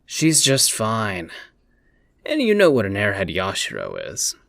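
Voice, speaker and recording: A man's voice: on the low side, wildly swooping pitch, a little slow, creaky, a bit bright, dry recording, clean studio sound, exaggerated voice. A man playing a sardonic, prying teenage boy in an anime dub, teasing and nonchalant. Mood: blunt. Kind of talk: anime fan dub acting.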